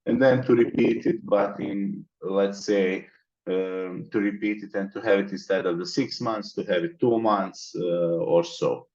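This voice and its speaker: A man's voice: fairly low pitch, fairly even intonation, a little slow, darkish tone, muffled recording. A man from the Balkans, a guest in an interview, explaining his plans methodically in long lists. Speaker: interview guest, mentorship organizer. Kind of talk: conversational interview.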